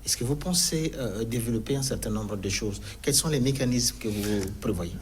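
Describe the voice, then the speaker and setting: A man anchoring a TV news programme, introducing his guest and asking questions in a formal, measured way. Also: mid-range voice, slight background noise. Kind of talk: TV news interview. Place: TV news studio.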